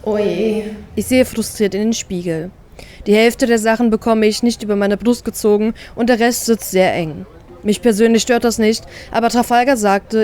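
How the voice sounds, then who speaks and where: A woman reading a first-person story aloud as an expressive narrator. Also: mid-range voice.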